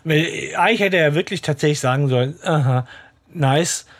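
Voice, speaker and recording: A man's voice: fairly high pitch, a little fast, husky. Man acting out character lines. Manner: acts out characters' lines while explaining. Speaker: podcast co-host. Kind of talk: casual fan podcast discussion.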